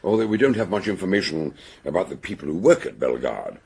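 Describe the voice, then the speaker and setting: An actor playing an eccentric, learned British gentleman detective in a radio drama, husky and given to musing hesitations. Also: sits low, sing-song, fast, exaggerated voice. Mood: genial, probing.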